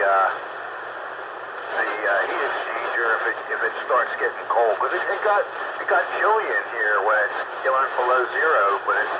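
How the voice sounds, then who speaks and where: Halting man under loud hiss. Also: medium pitch. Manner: halting, repeats words, homely. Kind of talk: casual radio chat.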